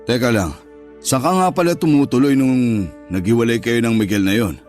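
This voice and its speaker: A man's voice: medium pitch, normal speed, a little mellow, soft background music, natural voice. Actor as possessive rich Filipino businessman, radio drama. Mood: suspicious, threatening. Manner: controlling, alternately reassuring and threatening. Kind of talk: Tagalog radio drama acting.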